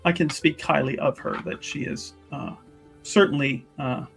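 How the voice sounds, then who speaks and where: Man playing courteous, higher-voiced woman investigator. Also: moderate pace, creaky, fairly bright tone, soft background music. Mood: placating. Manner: formal, hedging, ingratiating. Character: polite, diplomatic female investigator, courteous and careful.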